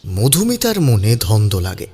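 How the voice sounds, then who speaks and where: A man's voice: fairly low pitch, huge pitch swings, a little slow, warm tone, husky. A man narrating a scripted story in a dramatic audiobook style. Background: background music.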